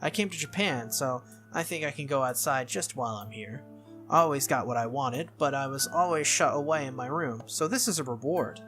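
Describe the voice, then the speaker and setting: A man's voice: medium pitch, brisk, fairly bright tone, faint music behind, natural voice. A man voicing an innocent, cheerful little girl from a noble family, childlike and eager, in a visual novel read-through. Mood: proud, chatty.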